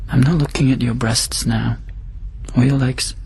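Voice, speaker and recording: A man's voice: mid-range voice, steady tone, measured pace, darkish tone, natural voice. Radio drama role: quarantined spaceman, played by a male actor, wry, wounded, plain-spoken, over a faintly noisy ambient bed. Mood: intimate, probing. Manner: informal, blunt, sardonic, needy. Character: lonely, wry, bitter male astronaut held in quarantine.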